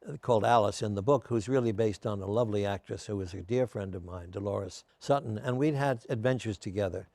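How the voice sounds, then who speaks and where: Hoarse older man spinning wry stories. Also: fairly low pitch, a little fast, hardly any pauses, a little mellow, no echo. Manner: genial storyteller, wry and self-deprecating. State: hoarse, losing his voice. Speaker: guest. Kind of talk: casual interview chat.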